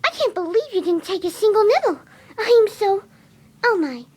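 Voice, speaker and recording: A very high, soft and sweet cartoon pony. She is timid and gentle, cooing in a motherly way like a doting caretaker in a fan-made story reading. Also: sing-song, moderate pace. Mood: surprised, delighted.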